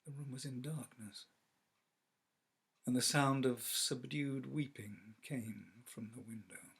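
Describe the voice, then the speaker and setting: A man reading a classic story aloud as an actor, measured and theatrical, letting phrases fall to a hush. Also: mid-range voice, fairly even intonation, creaky, husky. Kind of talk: dramatic literary narration.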